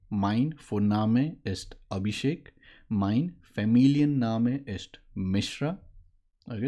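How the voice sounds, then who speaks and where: Native Maithili-speaking man, late twenties, fairly low mellow voice, halting casual teaching over a webcam. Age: late 20s. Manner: explains in mixed speech, halting with fillers. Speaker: language teacher. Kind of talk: casual online lesson. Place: indoor room at a desk.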